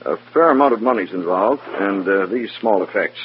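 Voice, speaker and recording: A man's voice: lowish voice, animated, normal speed, lightly acted. A man playing a skeptical, formal official in a 1950s radio drama, speaking in a dry, clipped way. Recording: dull, noisy vintage radio recording.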